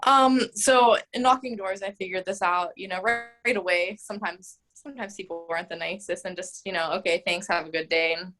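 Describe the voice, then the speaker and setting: A woman's voice: lowish voice, brisk, few pauses, a bit bright, faint music behind, poor audio. A woman in her twenties, a mayoral candidate, answering interview questions in a chatty way full of "like" and "um.". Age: 20s. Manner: chatty, frequent "like" and "um", laughs easily.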